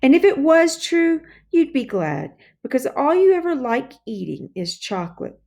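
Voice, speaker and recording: A woman's voice: high-pitched, very animated, moderate pace, creaky, a little mellow, voice pushed up, full character voice. A woman voicing a bossy, scornful young schoolgirl in a children's storybook, dismissive and commanding.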